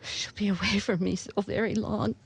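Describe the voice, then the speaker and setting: A woman's voice: medium pitch, steady tone, normal speed, vocal fry, husky. A woman playing a tender, heartbroken middle-aged mother, speaking tearfully in a 1930s-style radio horror drama. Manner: tearful, broken murmuring.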